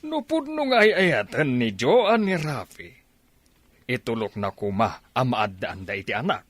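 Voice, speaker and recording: Older Ilocano father, radio drama. Insistent, emphatic, longing for a grandchild; lively pitch, close dry recording. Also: a little slow, no echo. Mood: exasperated.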